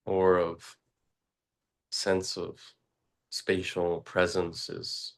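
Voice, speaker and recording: A man leading a guided meditation, speaking slowly and haltingly with long pauses and fillers. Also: creaky. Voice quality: very low, flat voice. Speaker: meditation teacher.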